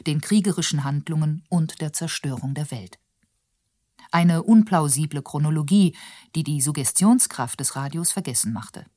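A woman's voice: low voice, a little mellow, no echo, clean studio sound. A woman reading scripted narration for a radio documentary.

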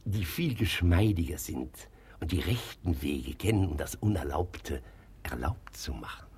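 An actor playing a smooth, sly notary, formal and insinuating, in a German radio comedy. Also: male voice, low voice, expressive, unhurried, darkish tone, full character voice. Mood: conspiratorial. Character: smooth, sly, obliging notary bending the law. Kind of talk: German radio comedy acting of a classic play.

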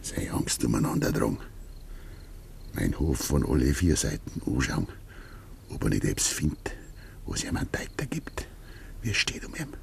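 Very gravelly old Bavarian farmer. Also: male voice, extremely low pitch, huge pitch swings, normal speed, long pauses, whispered, full character voice. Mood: hushed, grim. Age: older. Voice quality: very gravelly, breathy. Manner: hushed, conspiratorial. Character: brooding old Bavarian farmer, a father hunting his son's killer. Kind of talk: 1950s dialect radio play acting.

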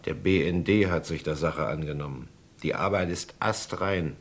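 A man's voice: rumbling low, moderate pace, husky, exaggerated voice. A man playing a cool, matter-of-fact hired agent in a German radio crime drama, speaking in a dry, laconic, slangy way.

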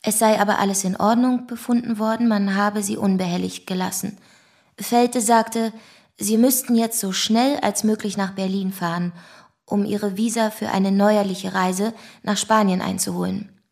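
A woman's voice: mid-range voice, fairly even intonation, normal speed, gravelly, a little mellow, natural voice. An actress playing a sober young woman who gives formal testimony in a radio drama.

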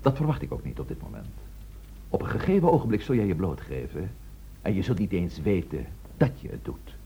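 An actor playing a blunt but courteous police inspector in a radio play, dryly and persistently questioning. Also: male voice, deep voice, sing-song, a little fast, dark tone, a little hiss or hum. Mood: probing.

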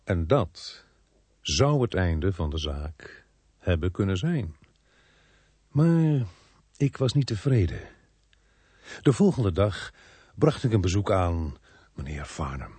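A male actor in a Dutch radio play, playing a suspicious, dogged police inspector who speaks deliberately and pointedly. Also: sits low, very animated, dark tone, breathy edge, full character voice. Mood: reflective, dissatisfied. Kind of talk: Dutch radio play acting with first-person narration.

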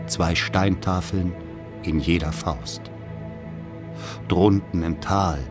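Radio drama narrator: wry man recalling boyhood, very deep, unhurried, measured and solemn. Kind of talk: literary radio play narration.

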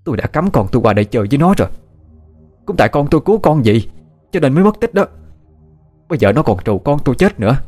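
A man's voice: mid-range voice, sing-song, quick pace, pauses often, slightly rough, faint music behind, voice pushed up. A man voicing a desperate, accusing middle-aged mother with a Southern Vietnamese accent in a dramatised audio story. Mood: bitter, furious. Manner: forceful, insistent, repeated demands.

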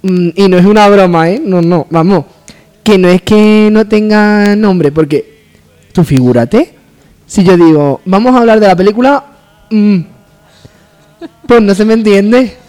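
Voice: medium pitch, measured pace, pauses often, a little mellow, clean tone, close to an everyday voice. A man playing a ditzy, giggly female TV presenter in a parody interview, chattering airily and hesitantly. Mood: giggly, flattered.

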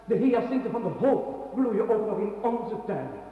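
A man's voice: sits high, big pitch swings, moderate pace, dark tone, echoey room, poor audio. An actor playing a mocking, playful man who trades absurd banter in a radio play.

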